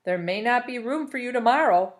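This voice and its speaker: A woman's voice: fairly high pitch, expressive, fairly quick, close to an everyday voice. A woman voicing a chatty, grinning man who floats about, a slick and breezy persuader with brash, folksy patter, in a storybook read aloud. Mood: persuasive.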